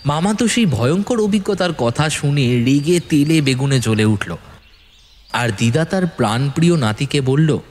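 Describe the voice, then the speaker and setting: A man's voice: on the high side, animated, normal speed, darkish tone, slight background noise. A man narrating a scripted horror audio story in a suspenseful, theatrical way.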